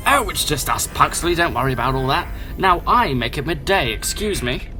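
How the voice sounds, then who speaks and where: A man's voice: fairly high pitch, lively intonation, normal speed, bright, forceful tone, soft background music. A man playing a genial but easily exasperated starship captain in an audio drama, with a hearty, formal manner. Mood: delighted, cheerful. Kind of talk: scripted fan audio drama acting.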